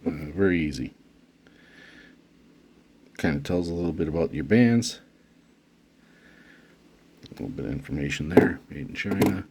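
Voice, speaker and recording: Very deep-voiced man, halting delivery. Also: noisy background. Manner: halting, pauses often mid-phrase. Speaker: product reviewer. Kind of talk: unscripted unboxing commentary.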